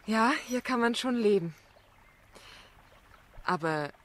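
A woman's voice: on the high side, expressive, slow pace, a bit bright. An actress playing a young, determined and shrewd woman barrister in a German radio drama, with a pointed, probing way of speaking. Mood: intimate, thoughtful.

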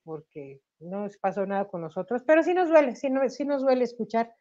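A woman's voice: on the high side, fairly quick, muffled recording. A woman with a Mexican accent, a singer on a video call interview, talking animatedly with a slight cough. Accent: Mexican Spanish. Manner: animated, tag questions, laughs while talking. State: slight cough, sore throat. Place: home room. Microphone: webcam on video call.

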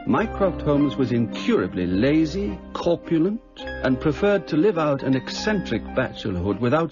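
Expressive English gentleman narrator over music. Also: mid-range voice. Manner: measured, literary, formal. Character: sober English gentleman doctor narrating his memoir. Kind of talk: dramatised first-person scripted narration. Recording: dull radio recording with music underneath.

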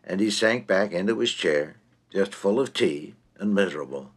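A man's voice: low voice, animated, normal speed. A man narrating a comic short story in a droll, theatrical, precise manner.

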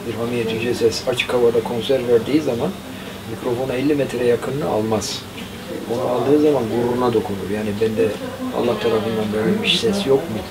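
A man's voice: medium pitch, fairly even intonation, a little fast, a loud crowd, rough recording. An older man, a gramophone repairer, talking thoughtfully about old times in a small cluttered workshop. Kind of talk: reflective interview talk.

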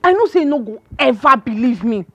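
A woman's voice: high-pitched, lively intonation, a little fast, a bit bright, dry recording, heavily acted. A woman with a Nigerian accent playing a dramatic, gossipy, pious compound neighbour in a comedy film. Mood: fervent alarm. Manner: dramatic, emphatic.

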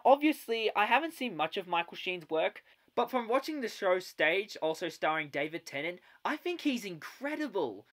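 A man's voice: sits high, fairly bright tone. A young man hosting a solo fan podcast, speaking in an enthusiastic manner. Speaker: podcast host.

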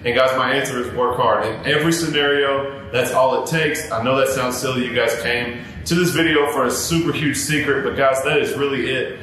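A man in his thirties gives earnest advice to camera, speaking casually at a mid pitch. His voice sits in a very echoey indoor studio with a faint rumble behind it. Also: fairly even intonation, a little fast, few pauses, a bit bright. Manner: earnest, repetitive, keeps saying guys. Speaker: barber and YouTuber. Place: home barber studio, indoors.

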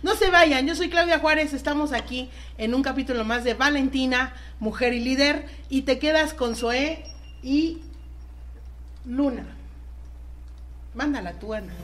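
Mexican woman hosting, fairly high voice. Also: measured pace, a bit bright. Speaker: radio host. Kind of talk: chatty radio show hosting.

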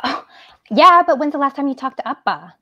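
A sarcastic twenty-year-old daughter. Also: female voice, sits high, animated, a little fast, pushed and bright, dry recording, muffled recording. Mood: exasperated. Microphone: video call.

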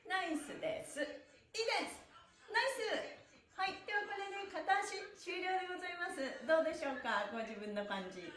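An echoey room carries the high voice of a middle-aged woman. She leads a stretching class in a cheerful, playful and polite way, with very lively intonation as she counts and encourages. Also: fairly quick. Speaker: stretching instructor and pantomime performer. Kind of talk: live-streamed exercise class, counting and chatting. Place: small room indoors, on an exercise mat. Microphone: camera or phone a short distance away. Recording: roomy, echoey distant device mic.

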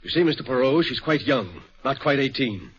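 A man's voice: mid-range voice, animated, moderate pace, close to an everyday voice. Man doing a worried, pushy dad in an old radio play, muffled old sound. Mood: earnest. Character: worried male stockbroker father, insistent. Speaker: client. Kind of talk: 1940s radio drama acting. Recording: dull old radio broadcast.

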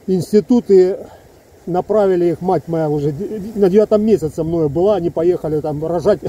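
Heated man in his fifties, husky voice. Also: high voice, warm tone. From Ukraine. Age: 50s. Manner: heated, emphatic, rambling with asides. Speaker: soldier vlogger. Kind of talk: casual video monologue to camera. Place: outdoors among trees. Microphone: phone held close, selfie framing.

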